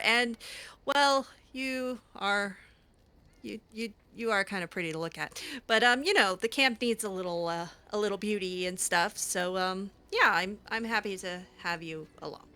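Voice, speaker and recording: A woman improvising in-character roleplay over a video game, speaking in a halting, stammering way. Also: fairly high pitch, bright, forceful tone. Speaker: roleplay gamer.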